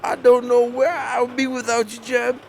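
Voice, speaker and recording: A man's voice: very high voice, flat delivery, moderate pace, creaky, a little hiss or hum. A man acting in a comedy horror audio drama as a meek, hesitant younger brother. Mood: sheepish. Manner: hesitant, submissive. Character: meek, slow-witted hillbilly younger brother, reluctant sidekick.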